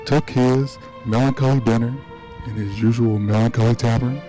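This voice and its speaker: Man as radio play narrator, medium pitch, measured storytelling, scripted delivery, light music behind. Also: lightly acted, low-quality recording. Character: male storyteller narrating an old Christmas tale.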